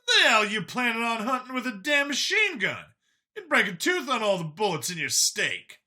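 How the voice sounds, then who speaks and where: A man's voice: extremely high pitch, wildly swooping pitch, moderate pace, sharp tone, pitched above the performer's own voice, exaggerated voice. A man voicing a brash, cocky human medic and hunter in an audiobook, combative and folksy in his talk. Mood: heated boasting. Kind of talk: acted audiobook dialogue.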